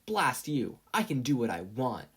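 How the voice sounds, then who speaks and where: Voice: medium pitch, very animated, a little slow, a bit bright, husky, exaggerated voice. A narrator voicing a brash, irritable teenage boy with a blustering, snappish delivery. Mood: irritated.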